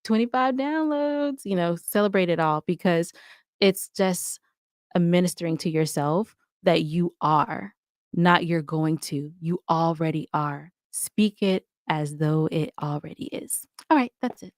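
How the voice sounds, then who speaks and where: A woman's voice: fairly low pitch, measured pace, no room sound, studio quality. A woman speaking as a podcast guest in casual interview chat, emphatic and motivational, often checking in with "right?".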